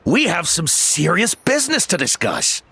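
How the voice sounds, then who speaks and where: A man's voice: high-pitched, huge pitch swings, moderate pace, pushed and bright, breathy edge, dry recording, exaggerated voice. A man voicing an earnest traveling merchant in a game cutscene. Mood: salesy. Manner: earnest, salesy. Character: eager, grateful traveling male merchant.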